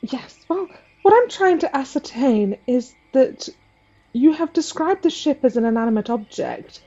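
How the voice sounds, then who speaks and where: A woman's voice: sits high, lively intonation, measured pace, dark tone, lo-fi sound. A woman playing a fey judge, asking questions in a formal, interrogating manner. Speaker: player voicing a fey judge.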